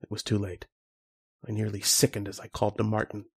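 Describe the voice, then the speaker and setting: A man playing a grave, haunted archaeologist in old-time radio horror, with dramatic, deliberate delivery. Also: lowish voice, monotone, gravelly, darkish tone, husky. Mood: awed, horrified.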